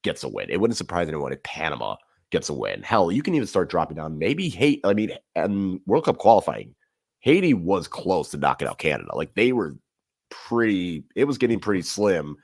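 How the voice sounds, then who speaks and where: A man's voice: deep voice, brisk, gravelly, husky, dry recording, studio quality. A man as podcast guest talking through sports in casual chat, rambling and correcting himself as he goes. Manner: animated, rambling analysis with self-corrections.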